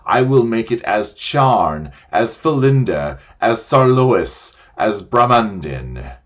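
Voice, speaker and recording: A man's voice: lowish voice, sing-song, takes it slowly, full character voice. Man doing a proud, gloating witch queen. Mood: gloating fury. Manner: loud, threatening, declaiming.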